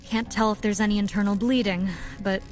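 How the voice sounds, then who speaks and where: An actress playing a practical, caring masked woman vigilante in an audio drama, measured and lightly wry. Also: mid-range voice, steady tone, a little mellow, faint music behind, close to an everyday voice. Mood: practical, concerned.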